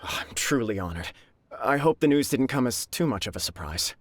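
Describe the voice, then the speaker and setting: A man's voice: mid-range voice, expressive, brisk, very noisy, close to an everyday voice. An actor voicing a modest, polite young male clan leader in a video game, a little bashful. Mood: formal. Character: earnest young male clan head, newly engaged.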